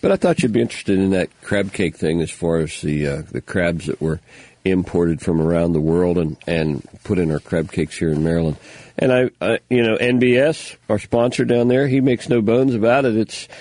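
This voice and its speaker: A man's voice: deep voice, moderate pace, darkish tone, dry recording. An older man hosting a local radio show, talking casually in a folksy, rambling way with lots of fillers, sounding stuffy. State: stuffy nose. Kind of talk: casual on-air talk, reading news items.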